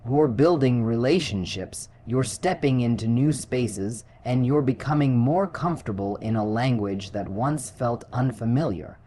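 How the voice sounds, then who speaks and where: A woman with a British accent delivering scripted English lesson narration in a measured, encouraging, clearly phrased way. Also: rumbling low, mellow, a little hiss or hum. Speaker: English teacher.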